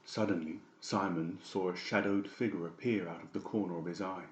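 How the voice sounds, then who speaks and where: A man with a very low voice narrates a dramatised story. The sound is roomy and a little muffled, as in a boxy home recording. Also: steady tone, brisk. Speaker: audiobook narrator.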